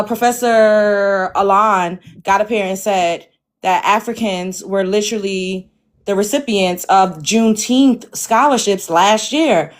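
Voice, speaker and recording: A woman hosting a heated live debate, forceful and quick to cut in, often repeating herself. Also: mid-range voice. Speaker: host.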